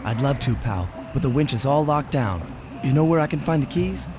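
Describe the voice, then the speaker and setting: A man's voice: mid-range voice, expressive, a little fast, over music, close to an everyday voice, rough recording. A man voicing a suave young raccoon thief in a cartoon game, laid-back, wry and confident.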